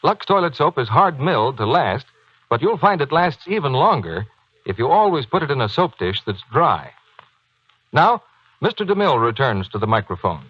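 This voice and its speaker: A man announcing a commercial in a polished, persuasive 1940s radio advertising style with a slightly husky voice. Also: mid-range voice, big pitch swings, normal speed, no room sound. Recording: dull 1940s radio broadcast recording.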